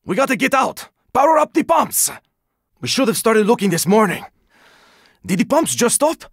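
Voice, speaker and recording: Battle-worn video game survivor, gruff, urgent, husky gravelly voice, terse strained callouts, protective. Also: male voice, extremely high pitch, sing-song, normal speed, dry recording, studio quality, heavily acted. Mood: terse, focused. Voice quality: gravelly, raspy. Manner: terse, intense callouts, often strained or shouted. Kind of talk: video game combat voice lines.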